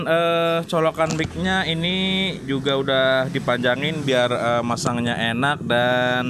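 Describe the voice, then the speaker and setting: A man hosting a casual product test, speaking in a hesitant, repetitive way. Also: fairly high pitch. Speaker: vlog host. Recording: clearly noisy, vehicle ambience.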